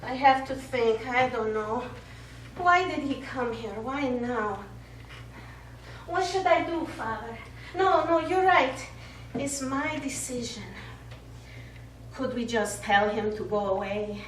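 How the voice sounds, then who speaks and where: Actress as Italian village woman, forties, high voice, anxious, wounded, dramatic, stage play, echoey room. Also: takes it slowly, long pauses. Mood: troubled, agitated. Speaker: stage actor. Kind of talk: live stage play dialogue. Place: small indoor theatre studio, recorded from audience distance. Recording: roomy, distant stage recording with background noise.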